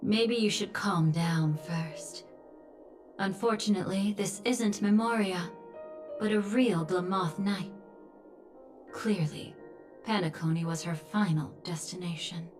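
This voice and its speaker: A woman voicing a cool, perceptive confidante in a video game, speaking in a measured, detached and philosophical manner. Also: lowish voice, a little mellow. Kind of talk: dramatic video game voice acting. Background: music.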